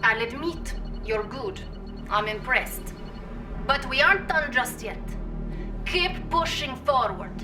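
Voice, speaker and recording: Cool female commander, high voice, confident and measured, game dialogue over loud music. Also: lively intonation. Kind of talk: acted video game dialogue. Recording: distant, loud music behind.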